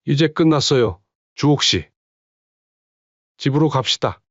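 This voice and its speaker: A man plays a caring village head in an audio drama. He speaks gently and reassuringly at a middling pitch. The sound is dry and slightly muffled. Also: lively intonation, measured pace, dry recording. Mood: gentle.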